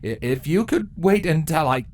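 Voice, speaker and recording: A man's voice: fairly high pitch, lively intonation, moderate pace, darkish tone, pitched above the performer's own voice, natural voice. A man voicing a nervous, meek changeling drone who stutters, as a character in a storybook reading. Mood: flustered.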